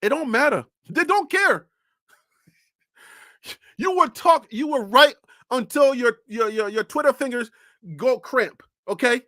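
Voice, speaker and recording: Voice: high-pitched, expressive, a little slow, pauses often, sharp tone, dry recording, studio quality. A sports show host from North Carolina ranting on a live stream. The host fires incredulous rhetorical questions and laughs often.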